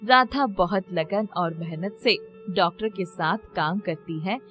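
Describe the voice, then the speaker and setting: A woman's voice: fairly low pitch, measured pace, highs cut off, faint music behind. A woman storyteller reading a scripted children's story in narration style.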